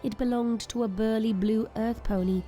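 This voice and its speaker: A woman's voice: fairly high pitch, fairly even intonation, moderate pace, mellow, soft background music, low-quality recording. A woman narrator reading a scripted, dramatic story.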